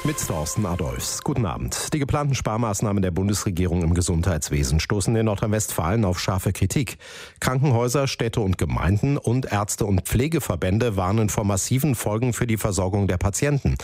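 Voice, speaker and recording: A man's voice: on the low side, animated, a little fast, a little mellow, dry recording. A man with a standard German accent reading scripted radio news as the presenter. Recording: music bed behind the voice. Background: music.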